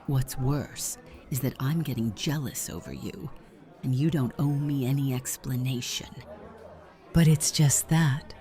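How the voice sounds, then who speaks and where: A woman voicing a flirtatious, jealous, tender aunt in a visual novel game, speaking softly and intimately with a breathy delivery. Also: a bass voice, a little slow. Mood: embarrassed, vulnerable.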